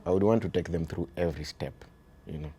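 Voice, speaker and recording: A man with a Kenyan accent and a very deep voice, talking earnestly as an interview guest about raising his sons, often dropping "you see" and shifting into Swahili. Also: fast talker, warm tone, a little hiss or hum. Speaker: guest, father of boys. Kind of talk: reflective conversational interview.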